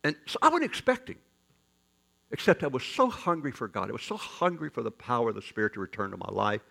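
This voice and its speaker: Male pastor, gravelly fry, mid-pitch, folksy joking sermon with loud bursts. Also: quick pace. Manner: folksy, joking, animated preaching with sudden loud rises. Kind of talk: storytelling sermon.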